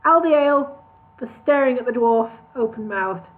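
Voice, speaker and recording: High-voiced woman reading aloud. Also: lively intonation, moderate pace. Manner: reads with asides, laughs at comments. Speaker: audiobook reader. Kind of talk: reading a comic fantasy novel aloud on a livestream. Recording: tinny phone-like sound.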